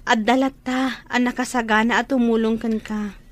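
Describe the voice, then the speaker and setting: A woman's voice: fairly high pitch, steady tone, moderate pace, lightly acted. A woman acting as an earnest, pleading younger sister in an Ilocano radio drama. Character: younger sister earnestly counseling her hospitalized brother.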